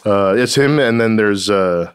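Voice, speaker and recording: A comedy writer chatting casually on a podcast, rambling and laughing often between phrases. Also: male voice, lowish voice, unhurried, clean tone. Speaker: comedy writer and performer guest.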